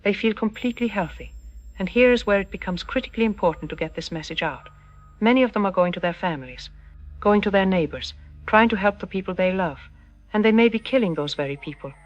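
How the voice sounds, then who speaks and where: A woman playing a solemn, earnest doctor giving deliberate expert warnings on a news broadcast in an audio drama. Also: mid-range voice, lively intonation, lo-fi sound, natural voice. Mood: solemn, urgent. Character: solemn female university doctor warning of pandemic. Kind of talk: scripted interview in an audio drama.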